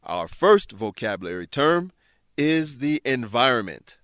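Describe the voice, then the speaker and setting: A man hosting and narrating a scripted language lesson, explaining things in a clear, measured teacher's manner. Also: mid-range voice, big pitch swings, fairly bright tone, dry recording, studio quality. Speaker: host and English teacher.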